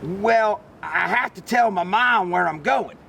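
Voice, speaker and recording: A man's voice: a little slow, creaky, pushed and bright, exaggerated voice. A man voicing an eager, impressionable ten-year-old boy in a children's storybook, earnest in manner. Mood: hesitant, agreeable. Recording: distant mic, rumbly background noise.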